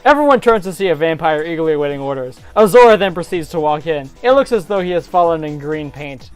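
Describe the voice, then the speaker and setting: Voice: mid-range voice, lively intonation, fast, darkish tone, clear, resonant tone. A theatrical narrator giving a comedic dramatic reading of a fantasy story.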